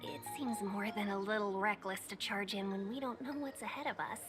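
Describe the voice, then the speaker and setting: A woman's voice: medium pitch, a little fast, close to an everyday voice. A woman voicing a courteous, cautious young guide in a video game, explaining things formally and politely. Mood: cautioning. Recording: background music throughout.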